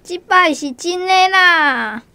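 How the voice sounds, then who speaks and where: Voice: very high voice, lively intonation, slow pace, bright, forceful tone, heavily acted. An actor playing a whiny, plaintive little boy with a bellyache in a Taiwanese radio drama, with a Taiwanese accent. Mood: crying in pain. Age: child figure.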